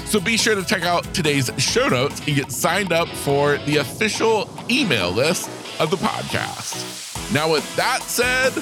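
A man hosting a podcast, speaking with an upbeat, animated delivery. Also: fairly high pitch, a little slow. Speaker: podcast host. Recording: music bed under the voice.

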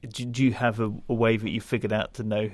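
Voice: mid-range voice, flat delivery, fast talker, no echo, studio quality. An interviewer asking follow-up questions in a casual, affirming way.

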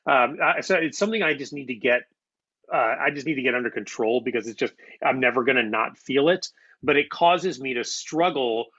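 A man's voice: mid-range voice, fairly quick, sharp tone, dry recording, lo-fi sound. A man chatting in an interview, wordy and self-critical, thinking aloud. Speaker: audio drama writer. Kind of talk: casual interview chat.

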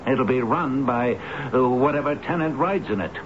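An actor playing a blunt, impatient landlord in a radio drama, speaking in a curt, dismissive, businesslike way. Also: male voice, normal speed, lightly acted. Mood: firm. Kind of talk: 1970s radio drama acting. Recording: muffled old radio broadcast, clear rumbling noise.

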